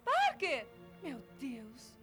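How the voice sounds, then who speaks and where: Dramatic, swooping young antiheroine. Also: female voice, high-pitched, huge pitch swings, very slow, full character voice. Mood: exasperated. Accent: Brazilian. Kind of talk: cartoon dub acting. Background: cartoon soundtrack music.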